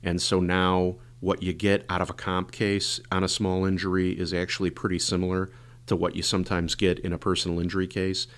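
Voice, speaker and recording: A man hosting a legal podcast, hoarse and a bit congested from a cold, talking casually with many fillers. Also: deep voice, monotone, fairly quick. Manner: rambling, filler-heavy explaining. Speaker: podcast host, injury lawyer.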